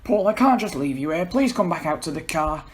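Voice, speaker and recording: A man's voice: a little high, animated, brisk, voice pushed up, lightly acted. Man acting an exasperated, blunt young man. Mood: apologetic. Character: irritable, curt male friend, exasperated, later apologetic. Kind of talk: amateur dramatic reading of a story.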